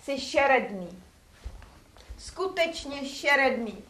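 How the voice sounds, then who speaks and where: Woman acting a lofty stage lady, really high voice, theatrical, echoey room w faint noise. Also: lively intonation, fairly bright tone, far from the mic. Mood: mocking, appalled. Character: gracious priestess; later haughty, mocking woman.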